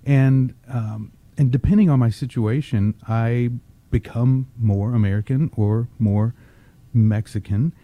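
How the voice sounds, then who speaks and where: A man from Texas, founder and CEO of an agency, giving measured interview answers with frequent ums. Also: mid-range voice, fairly even intonation, no room sound, highs cut off. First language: English, also grew up with Spanish.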